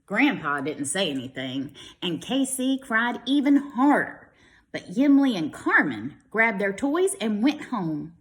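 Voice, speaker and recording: Animated American woman reading to young children. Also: medium pitch, measured pace. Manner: expressive, explains asides simply to children. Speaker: kindergarten teacher.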